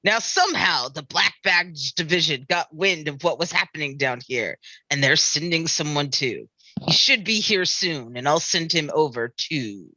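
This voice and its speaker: A performer playing a wry, unhurried small-town male sheriff in a comedy table read. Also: medium pitch, lively intonation, pushed and bright, no room sound, rough recording. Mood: wry, awkward.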